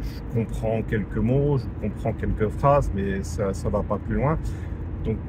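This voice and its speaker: A man's voice: lowish voice, measured pace. A French man giving an informal spoken religious lesson, talking conversationally with many fillers and restarts and repeating key points for emphasis. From France. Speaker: religious teacher. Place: in a car in traffic. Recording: audible traffic noise from the road.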